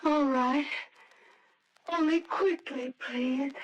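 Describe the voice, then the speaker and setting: An actress playing an anxious, sweetly hesitant young wife in an old-time radio drama. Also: female voice, high voice, fairly even intonation, drawn-out words. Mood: nervous, eager. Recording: dull, noisy old radio recording.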